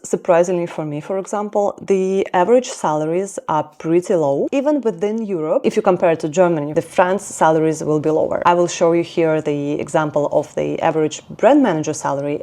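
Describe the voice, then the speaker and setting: A woman's voice: lowish voice, darkish tone. A woman in her thirties with a Russian accent, a native Russian speaker, giving an informal explainer talk to viewers as a career vlogger. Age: 30s.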